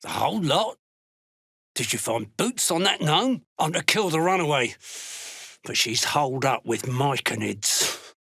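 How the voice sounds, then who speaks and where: An actor voicing a gruff, callous duergar slave hunter in a video game, blunt and threatening, with a gravelly voice. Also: male voice, fairly high pitch, lively intonation, a little slow, sharp tone, dry recording, clean studio sound, exaggerated voice. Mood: curt, businesslike. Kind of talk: video game character voice lines.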